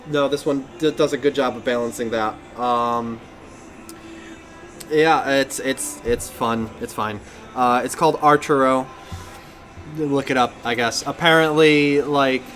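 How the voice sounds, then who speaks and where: A man's voice: medium pitch, a bit bright. A man co-hosting a gaming podcast in a casual, conversational style. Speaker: podcast co-host.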